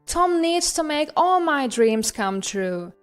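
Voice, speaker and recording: A woman's voice: high voice, sing-song, slow pace, faint music behind, pitched above the performer's own voice, exaggerated voice. A woman voicing a demanding, vain bride in her late thirties, insistent and self-centred, in a narrated story. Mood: demanding, entitled.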